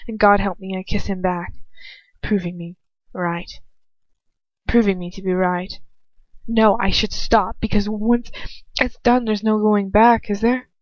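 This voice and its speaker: A woman's voice: medium pitch, level tone, unhurried, no echo. Informal woman reader, dull lo-fi recording. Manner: informal, self-conscious, giggly, swearing, hedging with "like". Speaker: amateur audiobook reader. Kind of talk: reading fan fiction aloud with casual chatty asides.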